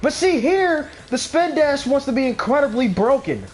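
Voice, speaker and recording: A man's voice: very high voice, very animated, normal speed, slight background noise. A young adult man streaming a video game with casual, profane, slangy commentary. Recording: game music behind the voice.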